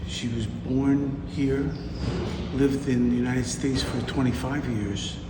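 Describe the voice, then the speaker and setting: A male physician slowly sharing reflections from a medical mission, pausing often between phrases. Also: mid-range voice, fairly even intonation. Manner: slow, halting, with long pauses. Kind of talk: reflective group recap. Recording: echoey room from far off, loud room noise.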